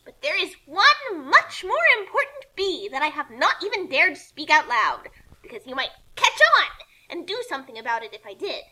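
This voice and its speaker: A woman's voice: high-pitched, sing-song, moderate pace, sharp tone, exaggerated voice, poor audio. A woman voicing a confident, dramatic young heroine who boasts and over-explains in a comic fan fiction reading. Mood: boastful, dramatic.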